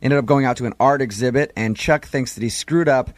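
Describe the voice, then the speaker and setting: A man's voice: medium pitch, a little fast, no room sound. A man hosting a radio show, speaking in casual banter, asking questions and recapping.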